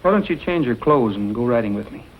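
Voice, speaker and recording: A man's voice: mid-range voice, lively intonation, fast, close to an everyday voice. A man playing an easygoing, kind and reassuring cowboy in 1940s western film style. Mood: friendly, reassuring. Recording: dull old film soundtrack, faint noise.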